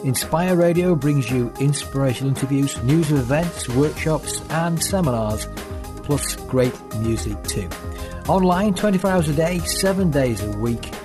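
Man, radio announcer, scripted promo, smooth delivery, loud music bed. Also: medium pitch, measured pace.

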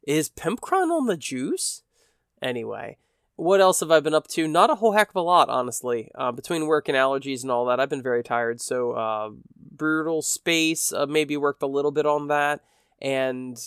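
A man's voice: on the high side, smooth, no echo, studio quality. A man hosting a casual solo podcast, chatting in a rambling, self-deprecating way while sounding a bit stuffy from allergies. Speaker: podcast host.

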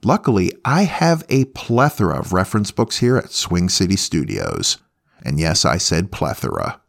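A man's voice: on the low side, vocal fry. A man hosting a music podcast in a chatty, playful radio presenter's style, speaking directly to listeners.

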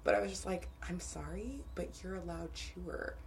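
A young woman co-hosting a podcast, telling dating stories in a casual, animated way and laughing often. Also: on the low side, normal speed, gravelly. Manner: animated storytelling with frequent laughter. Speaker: podcast co-host. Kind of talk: casual chat.

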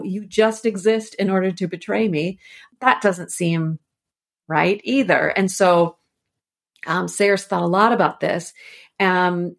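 A woman speaking as an expert guest in a conversational podcast interview, explaining things informally with animated asides. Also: medium pitch. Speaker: expert guest, annotated edition editor.

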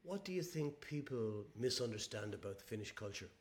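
A man's voice: on the low side, lively intonation, brisk, a little hiss or hum. A man hosting a podcast, asking questions conversationally and often repeating words as he forms them. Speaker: podcast host, interviewer. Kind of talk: conversational interviewing.

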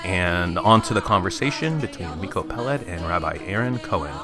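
A man's voice: low-pitched, expressive, fairly quick, music in the background. A man giving a conversational podcast introduction as the host.

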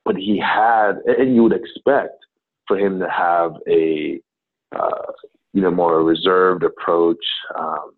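A man's voice: low voice, steady tone, takes it slowly. A man in his thirties from Ghana, a business consultant talking casually as a guest, rambling with many fillers and restarts. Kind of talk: casual interview talk. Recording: tinny phone-like line.

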